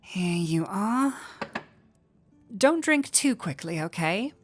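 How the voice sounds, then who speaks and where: A woman's voice: mid-range voice, very animated, slow pace, soft background music. A woman playing a flirty, teasing tavern innkeeper in an intimate fantasy audio roleplay, with a coy, playful manner.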